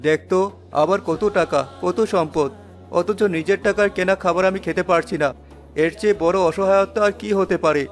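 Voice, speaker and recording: High-pitched, resigned father played by a man. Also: fairly even intonation, a little fast, music in the background, natural voice. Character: ailing, resigned, pious wealthy middle-aged father. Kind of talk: cartoon dialogue.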